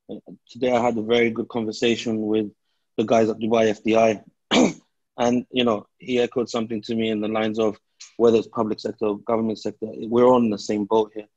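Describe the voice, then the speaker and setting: A man's voice: lowish voice, a little mellow, no room sound. A man in his thirties speaking as a panelist on a video call. He rambles and restarts his sentences often. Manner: rambling, with many restarts and fillers. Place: small room with a plain wall. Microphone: earbuds on a video call. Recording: dull video call audio.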